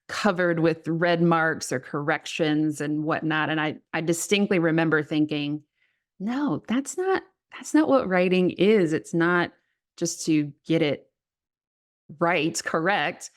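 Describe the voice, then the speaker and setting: A woman with a low voice talks as a guest on an interview podcast. She speaks reflectively about her work as a writing coach and laughs easily. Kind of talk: casual interview talk.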